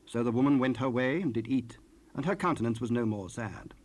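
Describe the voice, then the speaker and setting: A man narrating scripture in a solemn, measured, formal style for an audio drama. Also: medium pitch.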